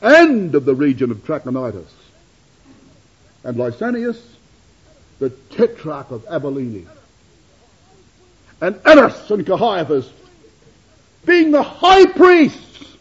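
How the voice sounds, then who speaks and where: A man preaching in a high, animated voice that swoops and climbs through each phrase. His tone is husky, and the recording sounds dull and lo-fi. He addresses his listeners as brethren and sisters. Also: takes it slowly, pauses often. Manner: dramatic rises and drops in pitch and loudness. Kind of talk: sermon.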